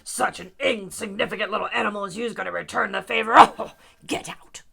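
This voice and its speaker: Woman putting on a booming gravelly scornful lion. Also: brisk, bright, forceful tone, exaggerated voice. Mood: scornful amusement. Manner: mocking, haughty, booming. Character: big scornful male lion, gruff and booming.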